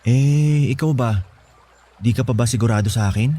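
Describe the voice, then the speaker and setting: A man's voice: mid-range voice, moderate pace, dark tone, close to an everyday voice. A man playing a devoted young boyfriend in a Filipino radio romance, speaking earnestly and tenderly. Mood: earnest, loving. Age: young adult. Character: devoted young boyfriend leaving to study in the city. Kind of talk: Tagalog radio romance drama acting.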